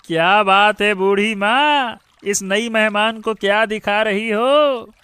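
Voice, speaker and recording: A man playing an arrogant, accusing village bully in a Hindi animated moral story. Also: high voice, slow, clear, resonant tone, exaggerated voice. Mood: arrogant, threatening. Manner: haughty, accusing, taunting.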